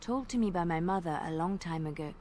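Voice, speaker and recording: A woman telling an old legend in character during a tabletop roleplay, measured and dramatic, at times dropping to a hush. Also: lowish voice, lively intonation, a little hiss or hum.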